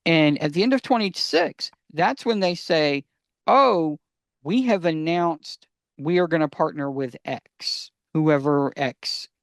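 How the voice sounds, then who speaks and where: Higher-voiced man explaining things on a podcast. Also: takes it slowly, gravelly, dry recording, clean studio sound. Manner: emphatic, explanatory, poses questions and answers them. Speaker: podcast co-host. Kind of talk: casual podcast discussion.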